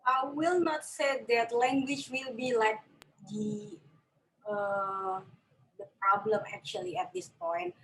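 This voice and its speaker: Indonesian-accented woman, higher voice. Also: expressive, slow, a bit bright, rough recording. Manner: explanatory, non-native phrasing. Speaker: panelist, researcher. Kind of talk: online panel discussion.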